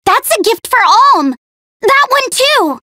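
An actress playing an excitable, lovesick young heroine in an anime-style video game. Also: female voice, very high-pitched, unhurried, dry recording, studio quality, exaggerated voice. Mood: insistent, eager.

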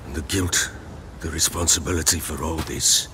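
A man voicing a guilt-ridden nobleman father in a video game, with a heavy, gravelly voice and a weighty manner. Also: very deep voice, fairly even intonation, a little hiss or hum, exaggerated voice. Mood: remorseful. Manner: slow, weighty. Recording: loud music, rain and thunder behind.

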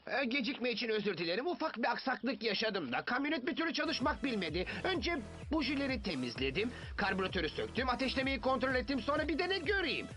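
Bumbling cartoon handyman, very high for a male, swooping intonation, apologetic and rambling. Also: sing-song, fast, hardly any pauses, bright, forceful tone, exaggerated voice. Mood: sheepish. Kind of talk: Turkish-dubbed children's cartoon acting.

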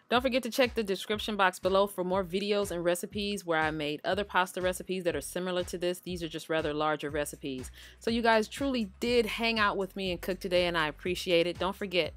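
A woman hosting her cooking channel in a relaxed, chatty voice-over, talking straight to her viewers. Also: mid-range voice, fairly quick. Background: faint background music.

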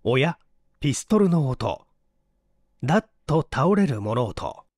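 Expressive male audiobook narrator, lively pitch. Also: slow pace, dry recording, studio quality. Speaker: audiobook narrator and stage actor. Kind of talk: scripted literary narration.

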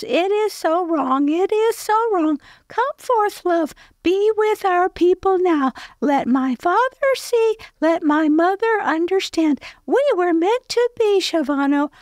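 Girlish tragic heroine, extremely high pitch, breathless, pleading, melodramatic, drawn-out, radio play. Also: no echo. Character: teenage girl singer overacting a tragic stage heroine. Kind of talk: theatrical radio play acting.

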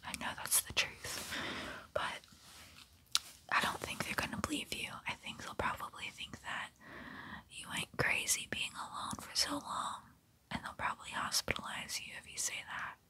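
A woman in her twenties playing a gentle, protective female vampire in a tender, soothing, breathy ASMR roleplay whisper, close to the mic. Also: normal speed. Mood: careful, protective. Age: 20s. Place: small bedroom, indoors. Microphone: close-up mic, face near camera.

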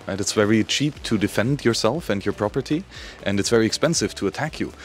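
A man's voice: lowish voice, animated, quick pace, a little hiss or hum. A man giving a confident, persuasive answer in a conference interview.

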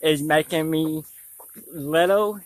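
A man's voice: fairly high pitch, slow pace, low-quality recording. A man in his twenties chatting casually to viewers as he vlogs on a nature trail, recorded outdoors on a lapel mic. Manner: addresses viewers casually as y'all. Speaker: vlogger. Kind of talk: casual outdoor vlog chat. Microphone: small clip-on lapel mic.

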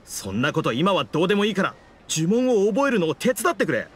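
Dramatic, highish-voiced struggling writer. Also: male voice, big pitch swings, fast, slightly rough, full character voice. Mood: pleading, insistent. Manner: theatrical, exasperated, insistent. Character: struggling male writer, dramatic, stubborn, pleading. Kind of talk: Japanese anime game voice acting. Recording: background music under the voice.

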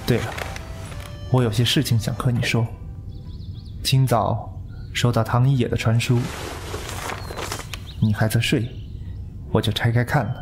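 A man's voice: medium pitch, fairly even intonation, measured pace, pauses often, music in the background, close to an everyday voice. A man playing a possessive, coolly intense young heir of a martial-arts sect in a Chinese wuxia audio drama. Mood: calm.

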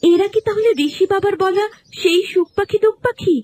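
A woman voicing a gentle, sorrowful young heroine in a cartoon fairy tale, polite and plaintive. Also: very high voice, steady tone, a little fast, a little hiss or hum. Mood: curious.

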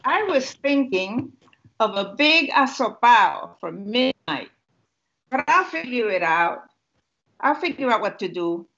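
A woman's voice: on the high side, sing-song, slow pace, fairly bright tone, muffled recording, exaggerated voice. An older woman with a Puerto Rican accent reading the part of a grandmother who always has the last word. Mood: planning.

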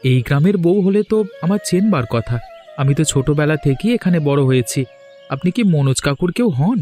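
A man's voice: fairly high pitch, lively intonation, moderate pace, warm tone, natural voice. A man voicing a polite, helpful young village boy in a cartoon, with an earnest manner. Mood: curious, polite. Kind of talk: Bengali cartoon voice acting. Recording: faint music behind the voice.

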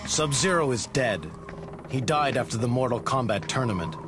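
A man's voice: mid-range voice, animated, moderate pace, natural voice. Earnest young fighter hero, voiced by a man, mid-range voice, game music under him. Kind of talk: video game cutscene acting.

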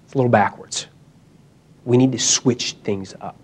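A man's voice: medium pitch, steady tone, moderate pace, slight background noise. A young man giving an emphatic, urgent motivational talk at a conference, speaking into a handheld mic in a hall.

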